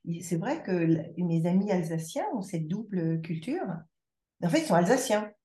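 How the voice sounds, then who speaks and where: A woman's voice: lowish voice, lively intonation, moderate pace, dark tone, roomy sound. A woman speaking as a podcast guest in reflective conversation, circling back and restarting her sentences as she thinks aloud. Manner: reflective, many restarts and repetitions. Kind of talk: conversational interview.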